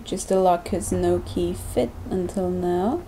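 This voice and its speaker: Woman, let's-play commentator, warm low-key tone, light hiss under the voice, chatty and self-mocking. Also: slow pace, low-quality recording. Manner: chatty, self-deprecating, thinking aloud. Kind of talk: casual gameplay commentary.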